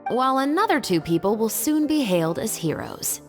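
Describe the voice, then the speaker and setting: An actress playing a cool, guarded adult patrol captain who speaks tersely. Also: female voice, a little high, very animated, a little fast. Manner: terse, understated. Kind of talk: video game voice acting. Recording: game audio, reverb and music.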